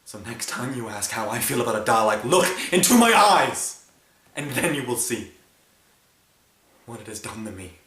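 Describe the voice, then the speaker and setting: A man playing a brooding, grieving and angry alien time-traveller who lost his planet, in a halting improvised fan-film monologue. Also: lowish voice, steady tone, fairly bright tone. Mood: furious. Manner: halting, brooding, building to anger. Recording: roomy sound with faint hiss.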